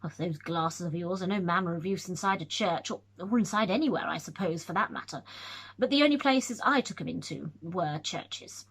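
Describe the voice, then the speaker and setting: A woman's voice: fairly low pitch, normal speed, breathy edge. A woman reading aloud, voicing a young male scholar who tells his tale eagerly, with nervous stumbles. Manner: lively, excited storytelling with hesitations.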